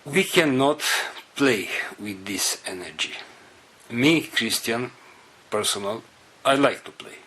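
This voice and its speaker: A man whose first language is Romanian, leading a spiritual group and giving an unscripted talk in slow, halting fragments. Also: mid-range voice, lively intonation, fairly bright tone, slightly rough.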